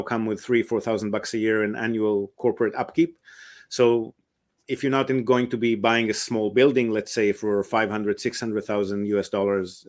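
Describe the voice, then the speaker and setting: A man, a real estate investment advisor, answers interview questions at length, explaining step by step with frequent ums and uhs. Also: fairly low pitch.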